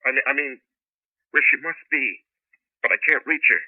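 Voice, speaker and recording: Distraught scientist husband, radio play, rough-edged voice, stammering pleas, dry narrow-band recording. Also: male voice, medium pitch, expressive, moderate pace, dry recording. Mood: anguished. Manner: stammering, pleading. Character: distraught male inventor scientist desperate to save his wife. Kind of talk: radio drama acting. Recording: muffled phone-like old radio broadcast.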